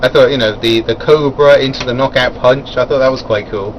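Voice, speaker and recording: A man's voice: mid-range voice, fairly even intonation, a little fast, slight background noise, poor audio. A man giving a casual, rambling spoken review of a wrestling event, full of fillers and chuckling at his own asides.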